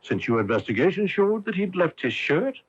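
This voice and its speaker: A dull, lo-fi AM radio recording of a shrewd detective in a mystery drama. His slightly high, husky voice puts questions politely and deliberately, with a probing, courteous manner. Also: big pitch swings, exaggerated voice. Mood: assured, teasing. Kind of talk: old-time radio mystery drama acting.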